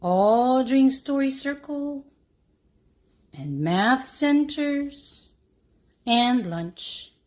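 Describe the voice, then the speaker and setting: Animated, slow-paced woman reading a storybook. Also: high-pitched. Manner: animated, deliberate. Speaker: preschool teacher reading aloud. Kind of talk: expressive children's storybook narration.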